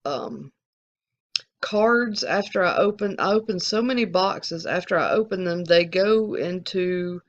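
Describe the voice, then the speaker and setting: This is a hobbyist host with a Southern Alabama accent who chats straight to viewers. The voice has a gravelly, fry-tinged texture. The talk rambles candidly with plenty of restarts, and the recording sounds a bit dull and lo-fi. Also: medium pitch, unhurried. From American South. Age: adult, collecting since the eighties. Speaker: sports card channel host. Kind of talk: casual Q&A to viewers.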